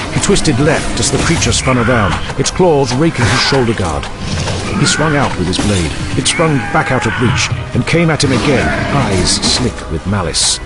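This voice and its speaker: A man narrating a scripted audio drama in a theatrical, emphatic, intense manner. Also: medium pitch.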